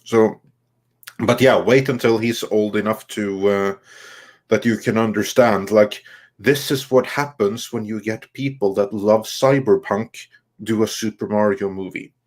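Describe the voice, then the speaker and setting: A man co-hosting a live stream, reading viewer comments aloud in a halting way and responding casually. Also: on the low side, a little mellow. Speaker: co-host reading viewer messages.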